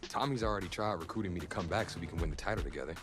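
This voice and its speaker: An actor playing an eager, wry young college quarterback in a video game drama, casual and expressive. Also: male voice, low voice, racing, loud music behind the voice, natural voice. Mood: wry, resigned. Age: young adult.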